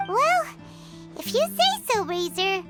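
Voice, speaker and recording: A woman's voice: very animated, music in the background, heavily acted. A woman voicing a tiny floating fairy companion, chirpy, blunt and bossy, in a game. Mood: upbeat. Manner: squeaky, bossy, quick to tease.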